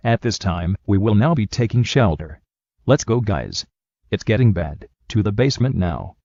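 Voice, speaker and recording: A man's voice: on the low side, sing-song, normal speed, warm tone, no room sound. A man playing an urgent but composed TV news anchor in a scripted storm drama, with a dull lo-fi recording. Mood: urgent, concerned. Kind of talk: scripted breaking weather news. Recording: dull, lo-fi, dry sound.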